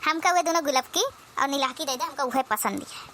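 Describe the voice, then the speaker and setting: A woman's voice: high-pitched, quick pace, pushed and bright, a little hiss or hum. A woman voicing a demanding, sulky village sister-in-law in a comedy cartoon, whining and quarrelsome in an Awadhi accent. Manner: whiny, quarrelsome, rustic.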